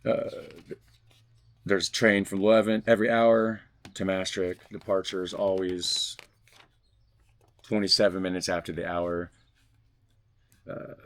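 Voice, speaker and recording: A man vlogging at home, reading a fan letter aloud and joking casually between passages. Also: deep voice. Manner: reads stiffly, then jokes loosely between passages. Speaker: vlogger unboxing fan mail.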